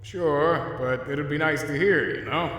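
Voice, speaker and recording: A man's voice: fairly high pitch, measured pace, fairly bright tone. A man playing a skeptical, wounded young skeleton brother in a comic dub, talking in an informal, hesitant, wry way. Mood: wry, guarded. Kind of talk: dramatic fan comic dub. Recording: very echoey long reverb under music.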